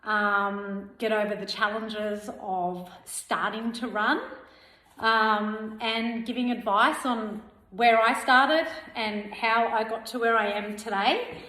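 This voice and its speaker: A woman in her forties talks slowly and casually to her camera, sharing running tips with plenty of ums along the way. Her voice sits a little high. The recording is roomy, with the camera mic picking up a clear echo. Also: steady tone, bright, forceful tone. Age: 40s. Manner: unhurried, chatty, frequent ums. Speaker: running vlogger. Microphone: camera mic without a clip-on mic.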